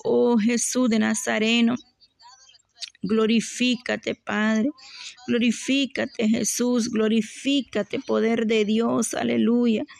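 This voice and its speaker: A woman leads a fervent spoken prayer in a fairly high, creaky voice. She keeps a narrow, even pitch and an unhurried pace as she pleads devoutly, returning to repeated invocations. The sound is dry and close. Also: no room sound. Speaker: religious worshipper leading prayer.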